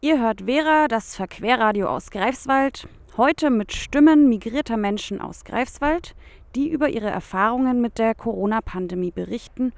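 A woman presenting a local radio show, reading her announcements clearly from a script. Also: fairly high pitch, moderate pace, no room sound.

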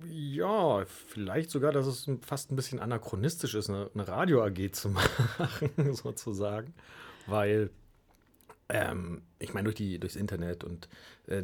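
A man's voice: lowish voice, normal speed, slightly rough. A man giving a conversational radio interview, reflecting aloud in a hesitant, searching way. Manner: hesitant, reflective, hedging phrasing. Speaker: interview guest, radio club leader.

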